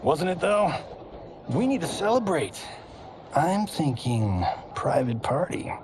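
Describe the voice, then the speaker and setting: A cocky movie star. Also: male voice, a little high, slow, noisy background. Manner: cocky, wisecracking, animated. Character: brash male movie star, cocky and joking. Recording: game cutscene with music behind.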